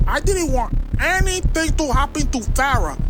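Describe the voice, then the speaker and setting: A woman's voice: high voice, very animated, moderate pace, music in the background. A woman playing a sleazy, cowardly male bartender in an audio drama, talking in defensive slang. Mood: pleading, defensive.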